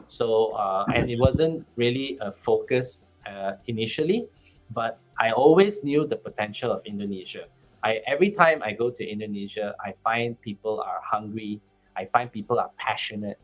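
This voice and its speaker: A man's voice: lowish voice, normal speed, faint music behind, rough recording. A man with a Malaysian accent, speaking as a guest on a panel, often restarting and repeating words. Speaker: country manager, panel guest. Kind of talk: casual panel talk.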